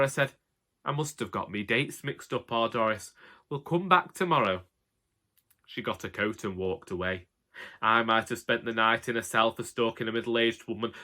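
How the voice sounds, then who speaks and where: A man playing a long-suffering elderly Northern English husband, telling a comic monologue in a wry, deadpan way. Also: mid-range voice, close to an everyday voice. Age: older. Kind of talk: comic scripted monologue narration.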